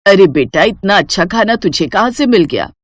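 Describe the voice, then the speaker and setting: A woman voicing a kindly, homely elderly village mother-in-law in a cartoon story, in a slow, motherly manner. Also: mid-range voice, big pitch swings, no room sound. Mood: surprised, fond.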